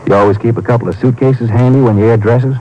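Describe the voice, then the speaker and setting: A man's voice: medium pitch, fairly even intonation, quick pace, mellow, natural voice. A man playing an inquisitive lawman sidekick in a radio drama, folksy and questioning. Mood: probing. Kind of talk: 1950s radio drama acting. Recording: dull, slightly noisy old radio recording.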